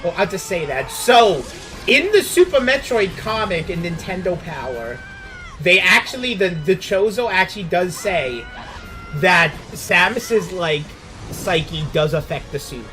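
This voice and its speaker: A man's voice: high voice, very animated, unhurried, bright, forceful tone, faint music behind, poor audio. A man giving casual, conversational commentary as co-host of a reaction stream.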